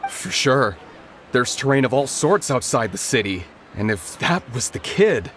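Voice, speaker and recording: A concerned passerby in a video game, mid-pitched and very animated. He is helpful, with faint outdoor ambience behind him. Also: unhurried, slight background noise. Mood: surprised, concerned.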